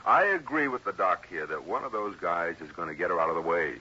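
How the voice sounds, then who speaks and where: An actor playing a folksy, blunt male insurance man in an old-time radio drama, with husky, slangy, emphatic speech. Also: sits low, lively intonation, fairly quick, bright, forceful tone, exaggerated voice. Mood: suspicious. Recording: muffled vintage radio recording.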